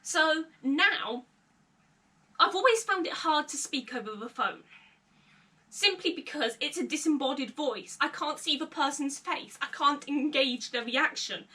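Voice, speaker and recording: High bright young woman, slight stutter. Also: animated, measured pace. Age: young adult. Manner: occasional stutter, candid, nervous laughs. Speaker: vlogger. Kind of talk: personal confessional vlog. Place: her bedroom, indoors. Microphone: camera mic at a short distance. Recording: slightly distant camera mic.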